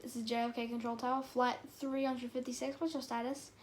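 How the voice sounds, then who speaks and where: A woman's voice: a little high, little pitch movement, brisk, natural voice. A woman playing a businesslike airport control tower operator with a clipped, official manner.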